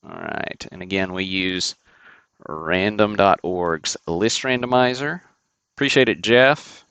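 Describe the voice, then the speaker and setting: A man's voice: deep voice, slow pace, fairly bright tone, clean tone, no echo. A man hosting a sports card break livestream, chatting casually and thinking aloud with fillers and restarts.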